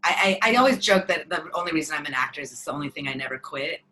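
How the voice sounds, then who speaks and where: A woman's voice: low-pitched, lively intonation, racing, pushed and bright. A woman whose first language is Farsi, chatting in a casual interview as a guest actor, rambling with many fillers and easy laughter. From United States.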